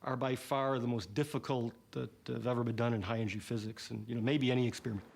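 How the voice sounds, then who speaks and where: A man's voice: medium pitch, steady tone, vocal fry, darkish tone. A man interviewed as a physicist, talking in bursts and repeating words as he searches for phrasing. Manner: fast, stumbling, repeats words. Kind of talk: documentary interview.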